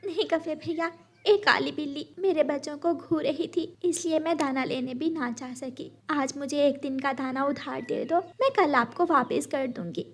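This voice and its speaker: Woman voicing a high-pitched protective mother bird. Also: normal speed. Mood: pleading. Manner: earnest, motherly. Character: protective worried mother bird, gentle with her chicks. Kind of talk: children's cartoon voice acting.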